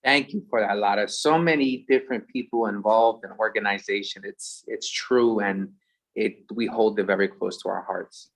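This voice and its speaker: A man's voice: fairly low pitch, moderate pace, gravelly, rough recording. A man with a Philadelphia accent co-hosting an online event, giving humble thanks mixed with short Spanish phrases and casual asides. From USA.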